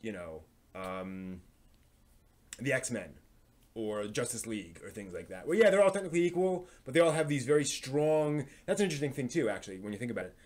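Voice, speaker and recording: A man hosting a live stream, talking in a casual, analytical way and often halting as he thinks aloud. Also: medium pitch, lively intonation. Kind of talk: casual rambling live commentary on anime and comics.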